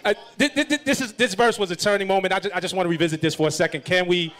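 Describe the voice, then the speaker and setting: A man's voice: sits high, fairly even intonation, rapid-fire, a bit bright. An American man casually hosting a hip-hop interview, talking in an emphatic, hyped way and repeating himself for effect. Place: live event before an audience.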